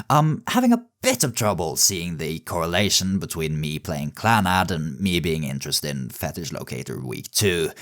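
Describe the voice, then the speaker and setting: A man narrating casual scripted video commentary in a wry, sardonic, sweary way. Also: low-pitched. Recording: music behind the voice. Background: background music.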